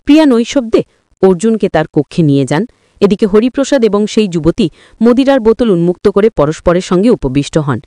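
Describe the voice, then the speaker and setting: A woman's voice: medium pitch, big pitch swings, brisk, mellow, dry recording, studio quality. A woman narrating a scripted moral story in formal, literary wording, as a dramatic storyteller.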